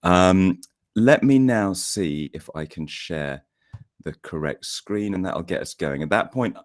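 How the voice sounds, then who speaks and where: A man with a hoarse, croaky voice from a cold, giving an academic seminar talk over a video call, a little hesitant. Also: sits low, animated, measured pace. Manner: hesitant, repeats words, self-deprecating. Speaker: guest lecturer.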